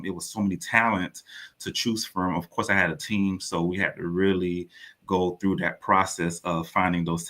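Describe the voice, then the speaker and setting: A man's voice: low voice, a bit bright. A man giving conversational, rambling answers as an interview guest, often restating himself. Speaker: interview guest, playwright and producer.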